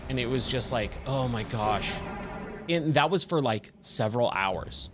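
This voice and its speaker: A man with a slightly hoarse, scratchy voice giving casual, rambling game commentary and thinking aloud as he plays. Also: mid-range voice, lively intonation, a little slow, music in the background. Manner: rambling, self-questioning. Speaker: game streamer, host of a let's play.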